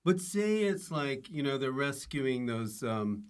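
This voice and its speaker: Casting: male podcast host, medium pitch, conversational, wry interjections. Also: sing-song, slow, smooth. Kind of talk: casual chat, wry interjections.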